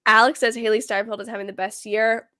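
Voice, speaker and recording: A young woman with a somewhat high voice chats casually on a livestream. She sounds a little hoarse and nasal from a cold. She rambles with plenty of fillers. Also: little pitch movement, fast, pushed and bright. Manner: chatty, with many fillers like "like". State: slightly hoarse, congested from a cold. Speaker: livestream host. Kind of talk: casual chat.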